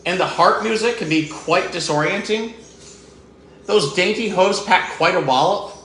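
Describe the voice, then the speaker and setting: A man's voice: high-pitched, unhurried, bright, forceful tone, reverberant, a little hiss or hum, poor audio. A man reading aloud as a grumpy, jealous male goblin who complains indignantly. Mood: grudging.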